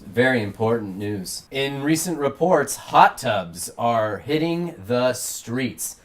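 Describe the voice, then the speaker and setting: A man's voice: medium pitch, lively intonation, slow. A man hosting a late-night comedy talk show, reading mock news in a dry, mock-serious way and interviewing a guest.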